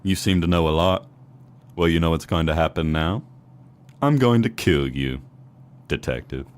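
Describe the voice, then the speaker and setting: A man's voice: low-pitched, normal speed, natural voice. A man playing a menacing snowman mob hitman in a noir comedy audio drama, speaking in formal gangster style. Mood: cold menace. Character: menacing snowman mob hitman, turning fearful when cornered.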